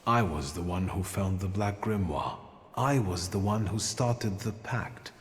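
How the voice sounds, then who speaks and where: A man acting a proud, cool-headed thaumaturge in a story video game, speaking in a measured, self-assured way. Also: low-pitched, lively intonation, in a large hall. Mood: proud, defiant. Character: proud, defiant male thaumaturge, cool and scheming.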